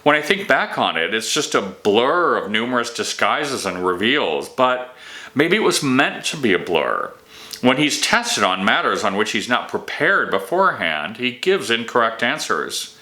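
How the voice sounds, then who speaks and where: Male narrator as troubled king, suspicious, weary, emphatic questioning, bright tense tone, fantasy audiobook. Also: medium pitch, sing-song, a little slow, voice pushed up. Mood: suspicious, insistent.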